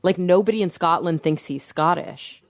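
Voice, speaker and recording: A woman's voice: on the low side, moderate pace. A woman with a neutral American accent, chatting animatedly as a podcast co-host and laughing easily. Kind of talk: casual panel chat.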